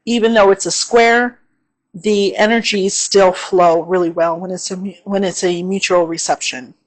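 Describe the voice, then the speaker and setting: A woman hosting her own channel, talking through an astrology forecast in a casual, unhurried way with a slightly hoarse, tired voice, often saying "um" and checking in with "okay?". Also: medium pitch, fairly even intonation, low-quality recording.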